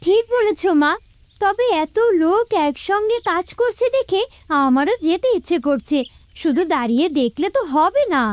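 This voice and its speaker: Cartoon village child, played by a woman, very high small voice, eager, earnest, kind-hearted. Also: animated, moderate pace, dry recording. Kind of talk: animated moral story dialogue.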